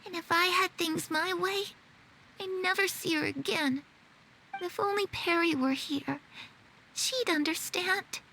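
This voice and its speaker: A woman's voice: extremely high pitch, a little slow, vocal fry, pushed and bright, slight background noise, heavily acted, low-quality recording. A woman playing a disillusioned, secretive young girl in a video game, speaking with wistful, bitter resignation. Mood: resentful.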